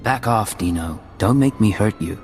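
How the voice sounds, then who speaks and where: An actor playing a curt, hard-boiled mercenary in a video game, terse and controlled. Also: male voice, on the low side, lively intonation, moderate pace, natural voice. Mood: curt, menacing. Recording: long reverb, faint music.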